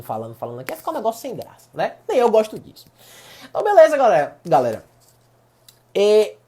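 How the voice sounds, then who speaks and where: A man's voice: a little high, a little mellow. A man from Salvador with a Bahian accent, giving a casual online law lecture and talking to his audience as a group of friends. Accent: Bahian Portuguese. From Salvador, Bahia, Brazil. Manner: chatty, repeats points, addresses audience as "galera". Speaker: law professor.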